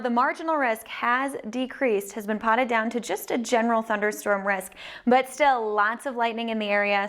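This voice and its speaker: A woman's voice: on the high side, a bit bright. A woman presenting a live broadcast weather forecast in a fluent, presentational manner.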